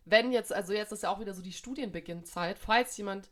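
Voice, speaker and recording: A woman's voice: medium pitch, fast talker, fairly bright tone. A young woman from Munich, co-hosting a casual podcast chat, full of fillers, restarts and youthful slang. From Munich, Germany. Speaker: podcast co-host.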